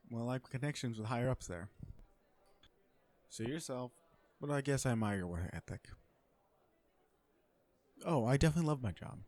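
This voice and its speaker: Casting: male actor as a sardonic, flirty corporate supervillain for romantic comedy audio roleplay, mid-pitched, conversational and sure of himself. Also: a little mellow, lightly acted. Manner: conversational venting, sardonic and self-assured. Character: cocky, flirtatious businessman supervillain on a blind date.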